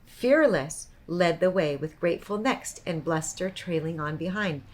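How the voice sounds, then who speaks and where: A woman storyteller reading a picture book aloud to children in an expressive, child-directed way. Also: lowish voice, a little slow.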